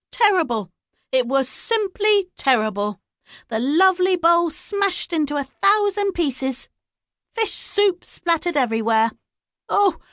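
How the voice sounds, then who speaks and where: A woman's voice: extremely high pitch, fairly bright tone, no room sound, studio quality, voice pushed up, heavily acted. A woman voicing a gossipy older village woman who tells scandal with dramatic, gushing relish. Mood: scandalized.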